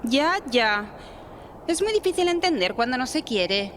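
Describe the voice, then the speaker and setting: High-voiced, snide young gossip. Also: female voice, sing-song, measured pace, a bit bright, a little hiss or hum, heavily acted. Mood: sarcastic. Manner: sarcastic, insinuating. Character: spiteful, gossiping unmarried woman of twenty-five. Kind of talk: radio drama dialogue.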